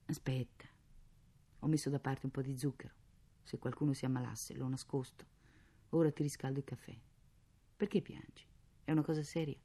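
A woman's voice: deep voice, fairly even intonation, normal speed, warm tone. A woman voicing a poor, harried mother who nags and scolds sarcastically but can turn tender, in a dramatic story reading. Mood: tender, hushed. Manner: nagging, sarcastic, exclamatory.

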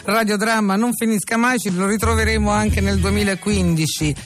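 A man's voice: high voice, fairly even intonation, normal speed, fairly bright tone, a little hiss or hum. A man hosting a radio comedy show, blunt and mock-stubborn. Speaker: radio host.

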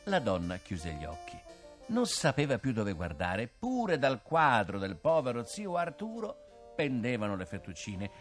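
An older male storyteller with an expressive reading voice. His pitch swings widely as he tells the tale, over faint background music. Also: unhurried. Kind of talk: scripted fairy-tale narration.

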